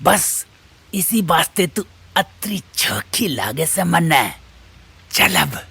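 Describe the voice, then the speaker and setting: A man's voice: on the high side, sing-song, slow, gravelly, sharp tone, husky, exaggerated voice. A man playing a menacing rustic villager with a Rajasthani accent in an audio horror drama. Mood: gruff, admiring.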